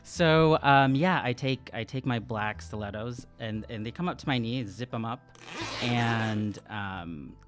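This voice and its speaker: A man in his thirties improvises as a tabletop game player. He talks casually at a medium pitch, pausing thoughtfully and hesitating, recorded on a close studio microphone. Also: over music. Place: small indoor studio room. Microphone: close desk microphone on stand.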